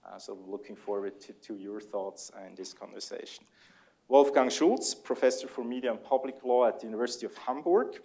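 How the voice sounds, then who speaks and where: A man in his forties with a Swiss German accent, a native German speaker, moderating a public panel and introducing speakers courteously, with frequent hesitant pauses. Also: mid-range voice, vocal fry, dark tone. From Switzerland. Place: large lecture hall before a seated audience, on stage.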